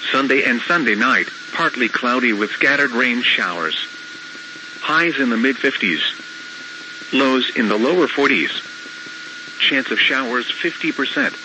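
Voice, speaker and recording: A synthetic man's voice reading scripted weather bulletins in a formal, measured, impersonal manner as an automated radio announcer. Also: medium pitch. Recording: noisy weather radio broadcast recording.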